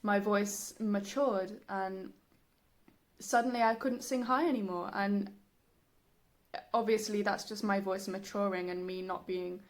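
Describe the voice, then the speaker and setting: A young woman with a soft, breathy voice, talking reflectively and haltingly in a personal vlog. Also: medium pitch, fairly even intonation. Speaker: singer, video creator.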